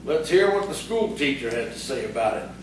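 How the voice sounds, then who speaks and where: An actor playing a gruff, sarcastic frontier bully in an audio theatre piece, taunting and bossy. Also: male voice, medium pitch, sing-song, fairly quick, lightly acted. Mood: needling. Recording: distant, echoey, noisy stage recording.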